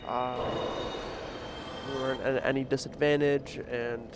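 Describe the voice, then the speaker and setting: A man with a bit of a cold, sniffling as he gives relaxed, rambling commentary over his gameplay, with lots of fillers and restarts. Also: mid-range voice, measured pace, background noise. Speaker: gaming commentator.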